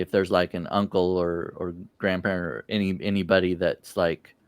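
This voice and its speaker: A male-sounding host asks questions in a casual conversation. The voice is very low and cleanly resonant with almost no room sound, and the phrasing comes out tentatively. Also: clear, resonant tone, no echo.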